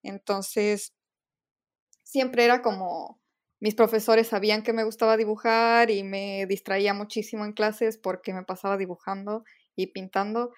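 A woman's voice: mid-range voice, fairly even intonation, unhurried. Giggly young woman co-host. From Ecuador. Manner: giggly, chatty, frequent fillers. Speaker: podcast co-host. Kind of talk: casual chat.

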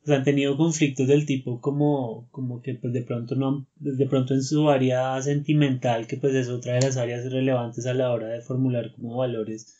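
A man hosting a talk, speaking in a measured way and often checking in with tag questions. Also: mid-range voice, flat delivery, warm tone, lo-fi sound. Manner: measured, thinks aloud, frequent tag questions. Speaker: podcast host. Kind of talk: casual conversation.

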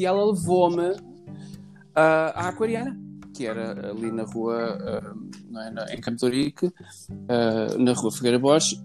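Hesitant Lisbon man, guitar behind. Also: medium pitch. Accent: Lisbon Portuguese. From Portugal. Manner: hesitant, many fillers and restarts, jokey asides. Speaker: podcast guest. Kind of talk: casual podcast chat.

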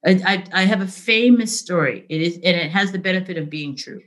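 Animated woman telling work stories. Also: fairly low pitch, fairly quick. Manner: animated, frequent "right?" and "like", laughs easily. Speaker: interview guest, business leader. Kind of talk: candid conversational storytelling.

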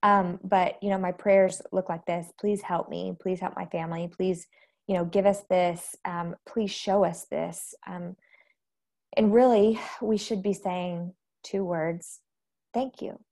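A low-voiced American woman with a gravelly creak gives an informal devotional talk. She sounds a little congested from allergies and speaks candidly, in a conversational, self-deprecating way. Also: steady tone, measured pace, darkish tone. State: slightly rough, congested voice from allergies. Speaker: host of a faith-based live devotional and podcast.

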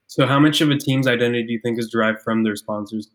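A man's voice: mid-range voice, quick pace. A man from Ecuador, co-hosting a casual podcast, speaking thoughtfully with frequent restarts and repeated words. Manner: thoughtful, stammers and restarts often. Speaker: podcast co-host, clothing brand founder. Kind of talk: casual chat.

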